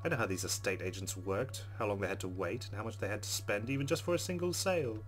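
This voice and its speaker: Low-voiced male gamer narrating over music. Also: animated, quick pace. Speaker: let's play host. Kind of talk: reading game narration aloud with casual commentary. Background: game music.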